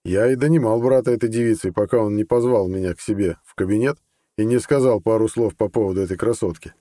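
A man's voice: on the low side, fast talker, warm tone, dry recording, studio quality, natural voice. A man voicing a blunt, ambitious army colonel, plain-spoken and earnest, in an audiobook. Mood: apologetic. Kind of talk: audiobook character dialogue.

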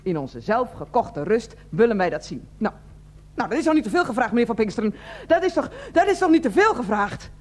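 An actress playing an older, self-righteous head of a residents' association in a radio play, wheezy, formal and repetitive. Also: female voice, fairly high pitch, very animated, a little fast. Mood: indignant. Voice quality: wheezy, asthmatic breathing. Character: fussy, indignant older woman heading a residents' association. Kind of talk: Dutch radio play acting.

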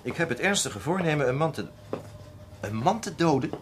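An actor playing a sceptical young police detective, an eager sidekick in a radio drama, animated and quick to protest. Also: male voice, a little high, lightly acted. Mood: mocking disbelief. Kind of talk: Dutch radio drama acting.

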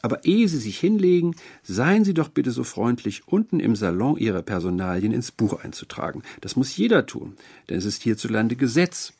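A man's voice: mid-range voice, very animated, moderate pace, gravelly, hardly any pauses, darkish tone, breathy edge, pitched above the performer's own voice, exaggerated voice. A man voicing a sweet, doting but eerie old landlady, gushing and overly polite, in an audiobook. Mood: doting, insistent. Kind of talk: audiobook character voice.

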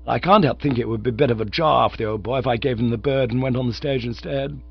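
A man voicing a silly, excitable young upper-class English gentleman in a storybook reading, plummy and wordy. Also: mid-range voice, fast, gravelly, breathy edge, highs cut off, pitched above the performer's own voice. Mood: breezy, self-satisfied. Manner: plummy, indignant or breezy, wordy.

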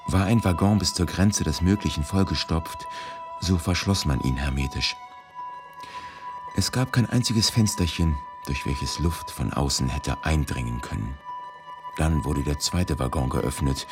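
Very low male voice, grave, measured delivery, scripted radio narration, music clearly behind. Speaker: reader of a historical eyewitness testimony.

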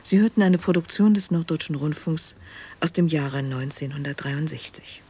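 A woman's voice: low-pitched, moderate pace, dark tone, husky. A woman radio announcer reading a formal scripted announcement.

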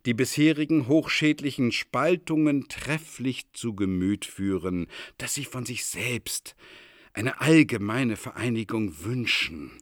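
A man's voice: medium pitch, animated, a little slow, no room sound, lightly acted. An actor playing a pompous madman who believes he is Jupiter, declaiming grandly and solemnly in a literary radio drama. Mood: lofty, explaining. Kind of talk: theatrical literary radio drama in archaic German.

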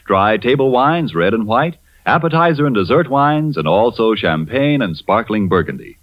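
Animated vintage American radio ad man. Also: medium pitch, normal speed, no echo. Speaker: commercial announcer. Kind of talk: 1940s radio advertisement, scripted sales pitch. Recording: dull old radio recording.

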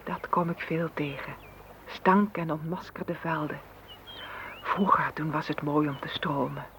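A man's voice: fairly high pitch, little pitch movement, unhurried. A man playing a wistful, anxious flowing stream in a poetic radio play. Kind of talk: Dutch radio play acting. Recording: noisy old radio play recording.

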